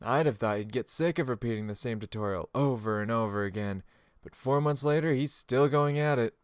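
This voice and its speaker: A seasoned, friendly spearman, voiced by a male narrator in a fantasy audiobook. He talks casually with cocky self-assurance at a middle pitch, in a dry, close recording. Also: a little fast, clear, resonant tone, no echo, natural voice. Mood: amused, casual.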